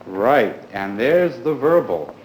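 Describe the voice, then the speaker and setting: A man teaching a class in a formal, polite, deliberate way, putting questions to his pupils. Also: mid-range voice, big pitch swings, darkish tone. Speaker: schoolteacher leading a grammar class. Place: indoors in a school classroom. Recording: echoey classroom recording with clear background noise.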